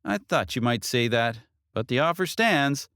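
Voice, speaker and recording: A man voicing a concerned female police detective in an audiobook, with a measured, official, earnest manner. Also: mid-range voice, animated, pushed and bright, clear, resonant tone, no echo, studio quality, pitched above the performer's own voice. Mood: cautioning.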